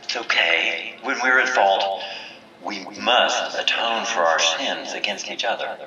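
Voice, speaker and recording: An actor playing a stern, solemn village leader giving measured, commanding oratory in an audio drama. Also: male voice, lowish voice, roomy sound, distant mic, muffled recording, a loud crowd, close to an everyday voice. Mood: stern, coaxing. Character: stern, solemn village leader presiding over a memorial and judgment. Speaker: community leader. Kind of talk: formal speech in an audio drama.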